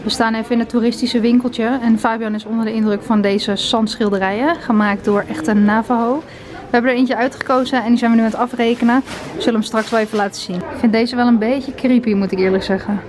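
A woman's voice: on the high side, flat delivery, fast talker. A young Dutch woman in her twenties, chatting upbeat to a camera held at arm's length while travelling by car. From Netherlands. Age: 20s. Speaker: travel vlogger. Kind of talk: casual travel vlog narration. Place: inside a car and outdoors in windy desert. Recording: camera audio with road and wind noise.

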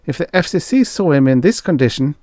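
Male narrator doing a flustered studio assistant, high and eager to please. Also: expressive, a little fast, a little mellow, no room sound, lightly acted. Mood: anxious. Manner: high, anxious and fawning.

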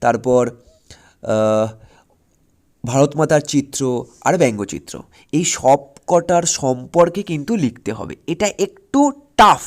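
A man with a high-pitched voice and a West Bengal Bengali accent gives an informal exam lesson. He explains each step instructively, restates key points and punctuates them with short check-in questions. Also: darkish tone. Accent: Indian Bengali, West Bengal. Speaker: exam-prep history teacher on an online channel.

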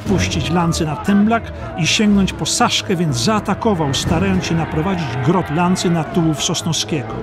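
A man's voice: high-pitched, moderate pace, hardly any pauses, over music. A man narrating a war history audiobook in a dramatic, scripted storytelling style.

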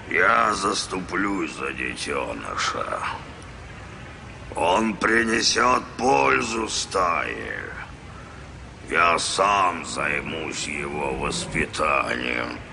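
A man voicing a big, kindly, protective old bear with a gravelly voice and weighty manner in an animated film, over music. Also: extremely low pitch, background noise, heavily acted. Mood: solemn. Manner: slow, weighty, deliberate. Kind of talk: Soviet animated film acting. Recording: music behind.

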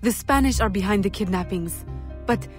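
An actress voicing a determined, guarded young woman rebel in a video game, speaking in a measured, resolute way. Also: mid-range voice, darkish tone, soft background music, natural voice. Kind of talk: video game dramatic dialogue.

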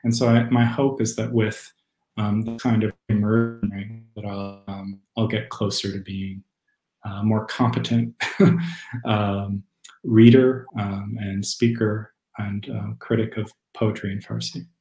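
A young man speaks through a webcam from a room at home, his voice carrying a roomy echo. He talks in a thoughtful, hesitant way, pausing often and filling gaps with small sounds. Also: lowish voice, level tone, warm tone. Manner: thoughtful, hesitant with many fillers. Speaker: poet and critic, guest. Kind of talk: video call conversation. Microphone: video call webcam.

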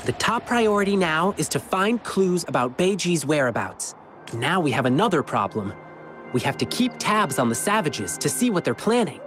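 A man voicing an energetic, earnest young adventurer in a video game. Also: on the high side, normal speed, soft background music. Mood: earnest, determined.

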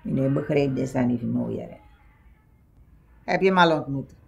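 A woman's voice: a bass voice, normal speed, vocal fry, a little mellow, breathy edge, full character voice. An older woman playing a blunt, suspicious grandmother who scolds and questions in film dialogue. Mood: suspicious, questioning. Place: dim living room indoors.

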